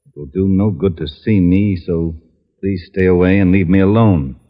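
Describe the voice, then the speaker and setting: An actor playing an impatient, exasperated police lieutenant who barks sarcastically in a 1950s radio drama. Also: male voice, deep voice, normal speed, big-room sound, lightly acted. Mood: businesslike, skeptical. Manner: barking, sarcastic. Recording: dull old-time radio recording.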